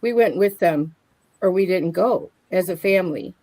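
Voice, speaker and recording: A woman's voice: lowish voice, normal speed, a little mellow. A middle-aged woman co-hosting a livestream in casual conversation. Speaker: livestream co-host. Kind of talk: casual chat.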